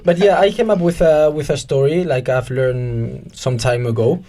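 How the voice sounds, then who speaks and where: A man's voice: medium pitch, fairly even intonation, normal speed, dark tone, a little hiss or hum. A young man from Spain with a mid-range voice talks casually on a volunteer radio show. He hesitates often and slips in playful jokes.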